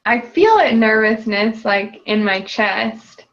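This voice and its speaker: A woman's voice: a little high, expressive, takes it slowly, a bit bright, highs cut off. A woman client repeating tapping phrases after her coach and hesitantly describing her nervousness. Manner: hesitant, searches for words.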